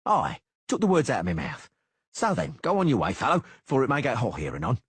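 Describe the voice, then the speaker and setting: A man's voice: mid-range voice, wildly swooping pitch, brisk, slightly rough, no echo, pitched above the performer's own voice, heavily acted. A man voicing a crude, sneering beady-eyed mercenary in an audiobook. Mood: sneering mocking. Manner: mocking, crude.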